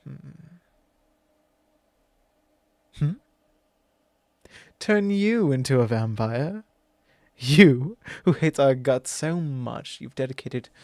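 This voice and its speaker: A man's voice: mid-range voice, darkish tone, no echo. A man voicing an arrogant, possessive immortal vampire in an ASMR roleplay, talking down in a drawl and sometimes whispering close to the mic.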